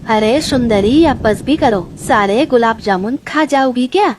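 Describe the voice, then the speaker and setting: A woman's voice: a little high, lively intonation, unhurried, background noise. A woman voicing a lazy, greedy male crow in a cartoon story, boastful and gloating. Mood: sly. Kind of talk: Hindi cartoon story voice acting.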